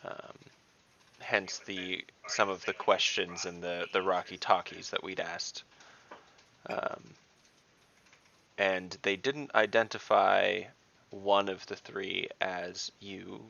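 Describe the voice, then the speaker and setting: A man improvises as a player in an online tabletop game. His voice is low and creaky, with a forceful edge, and he pieces his words together tentatively. The audio is a touch dull. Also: little pitch movement, slow pace. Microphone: online voice call.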